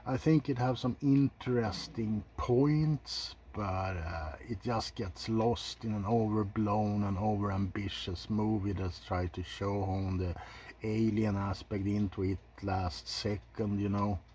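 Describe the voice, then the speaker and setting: A man's voice: lowish voice, fairly even intonation, slow, a little mellow, a little hiss or hum. A man hosting a casual ASMR video, giving blunt opinions in an informal commentary. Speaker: video host.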